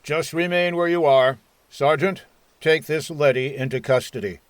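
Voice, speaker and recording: A man's voice: a little high, normal speed, clean tone, no room sound, close to an everyday voice. Man playing a hawkish, commanding army colonel. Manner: authoritative, clipped orders. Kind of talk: old-time radio science fiction acting.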